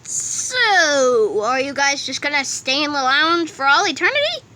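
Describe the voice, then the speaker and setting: A woman performs a comic dub in a theatrical style. Her voice is extremely high and bright, swinging widely in pitch. The home recording carries slight noise. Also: sing-song, measured pace. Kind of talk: animated fan comic dub reading.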